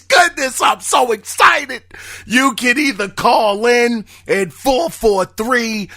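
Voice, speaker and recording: A hoarse man hosting a podcast, shouting often. His voice sits very high for a man, bright and cutting. He speaks slowly and draws his words out. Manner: excitable, shouting. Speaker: podcast host. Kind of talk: casual podcast monologue.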